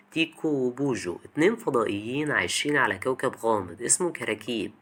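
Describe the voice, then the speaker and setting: Fast-talking Egyptian man narrating. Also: medium pitch, a bit bright. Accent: Egyptian Arabic. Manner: colloquial, chatty, addresses the listener directly. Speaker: video essay host. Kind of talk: scripted narration, conversational video essay.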